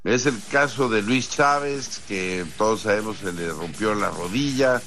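A man with a Mexican accent giving conversational sports news commentary in a measured way, pausing between points. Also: on the low side, fairly bright tone. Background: music.

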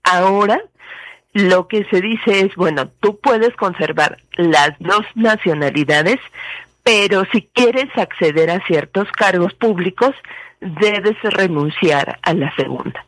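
A woman with a Mexican accent, speaking as a legal expert guest and explaining things methodically. She pauses often and fills the gaps with short fillers. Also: mid-range voice, no room sound, poor audio. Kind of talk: explanatory commentary.

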